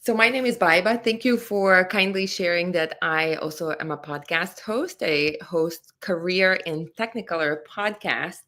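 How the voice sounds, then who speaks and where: A woman from Latvia answering interview questions as a career mentor. She speaks slowly and in a low register, earnest and measured, with a spiritual bent. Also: fairly bright tone.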